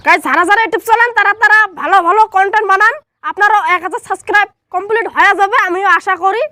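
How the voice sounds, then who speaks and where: A woman's voice: very high-pitched, fast, bright, forceful tone, dry recording, clean studio sound. A woman YouTuber chatting directly to her viewers, thankful and chatty as she talks to subscribers. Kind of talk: casual vlog talk to subscribers.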